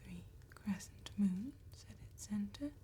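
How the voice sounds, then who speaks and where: A woman playing an elegant, calm vampire tattoo artist in a soft-spoken, hushed and deliberate ASMR roleplay. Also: mid-range voice. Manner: hushed, unhurried, deliberate phrasing.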